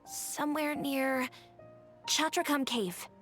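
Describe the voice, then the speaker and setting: A woman's voice: sits high, slow, fairly bright tone, faint music behind. A woman voicing a hesitant, cooperative young townswoman in a video game, speaking mildly.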